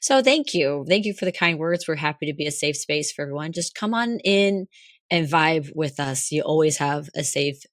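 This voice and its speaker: Hoarse young woman co-host, low voice, dry close sound, chatty and rambling. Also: animated, moderate pace, no echo. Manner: chatty, laughs often, rambling restarts. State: hoarse, recovering lost voice. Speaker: podcast co-host. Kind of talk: casual chat.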